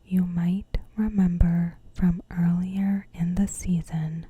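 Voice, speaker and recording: A woman narrating a recap in ASMR style, in a hushed, breathy whisper with measured delivery. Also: fairly low pitch. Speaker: ASMR video host.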